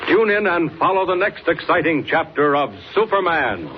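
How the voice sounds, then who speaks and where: A man's voice: on the high side, animated, normal speed, natural voice. A man announcing a 1940s radio serial in a dramatic, declamatory style. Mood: dramatic, urgent. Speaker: announcer and narrator. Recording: muffled, noisy 1940s radio recording.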